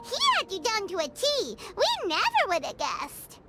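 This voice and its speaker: Game fairy companion: extremely high childlike voice, lively swings, chirpy and loyal. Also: female voice, unhurried, over loud music, heavily acted. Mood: puzzled. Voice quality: squeaky, childlike. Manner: talks of self in third person. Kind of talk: animated video game voice acting.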